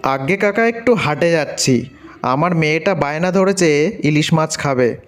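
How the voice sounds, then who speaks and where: A man's voice: on the high side, lively intonation, measured pace, a little hiss or hum. A man playing an obliging, timid village husband and father in a cartoon, earnest and easily unnerved. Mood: cheerful. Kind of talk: cartoon dialogue acting. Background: faint music.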